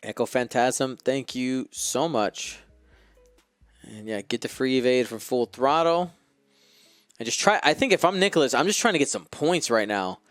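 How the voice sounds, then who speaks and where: Male stream co-host, smooth clean voice, chatty game commentary, playful banter, faint music behind. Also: medium pitch, normal speed, fairly bright tone, no echo. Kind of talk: live commentary on a tabletop miniatures game. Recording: close dry mic with faint music.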